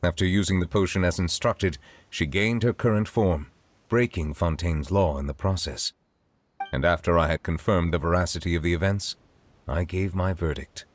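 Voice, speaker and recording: An actor playing a formal, grave male judge who speaks in measured sentences in a game cutscene. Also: sits low, slight background noise, lightly acted. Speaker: narrator of past events.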